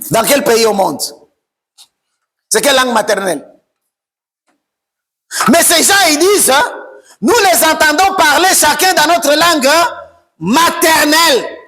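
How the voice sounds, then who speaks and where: A man preaching a sermon as pastor, shouting and sing-song, repeating phrases over and over. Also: extremely high pitch, sing-song, slow, pauses often. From Democratic Republic of the Congo.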